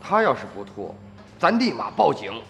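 A man's voice: mid-range voice, very animated, moderate pace, creaky. An actor playing a pushy middle-aged village man in a radio drama, wheedling and insistent, repeating himself as he presses. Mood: coaxing, scheming. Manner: insistent, repetitive. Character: wheedling, pushy village father feigning greed. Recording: reverberant studio drama with music.

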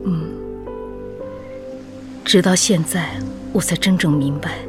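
An actress playing an anxious widowed young mother in a radio drama, pleading in a plaintive, halting, earnest way. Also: lowish voice, fairly even intonation. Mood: wistful. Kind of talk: emotional radio drama acting. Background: music.

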